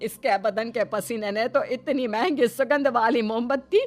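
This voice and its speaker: A woman plays a scolding middle-aged mother-in-law from a cartoon story. Her voice is fairly high and full of exclamatory melodrama, with soft music underneath. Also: normal speed, lightly acted. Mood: scornful.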